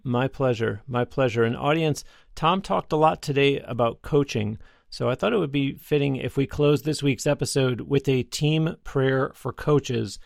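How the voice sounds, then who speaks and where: A man's voice: mid-range voice, moderate pace, vocal fry, no room sound, studio quality. A man hosting a faith radio show, affably wrapping up an interview and leading a prayer.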